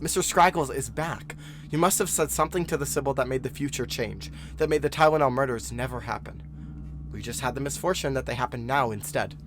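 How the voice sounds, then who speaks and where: A man's voice: mid-range voice, lightly acted. A man acting in an audio drama as a bookish, insistent young male student with a pressing, controlling manner. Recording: noticeable background noise and ambience.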